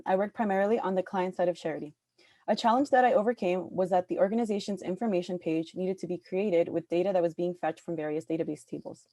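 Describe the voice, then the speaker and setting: A young woman presents her team's project, reading quickly from a prepared script. Her voice is mellow and warm, recorded close and dry. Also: mid-range voice, no echo. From born in Peru, raised in New York. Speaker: student presenter, product manager.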